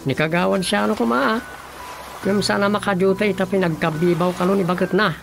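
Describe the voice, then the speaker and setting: An actor playing a grumbling, reluctant village office worker in a comic radio drama, colloquial, with an Ilocano accent. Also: male voice, sits high, steady tone, a little fast, gravelly, over loud music. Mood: matter-of-fact.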